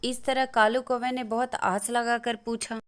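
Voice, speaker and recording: Female narrator for children's fables, slightly high voice, scripted storytelling. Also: flat delivery, measured pace, clean tone.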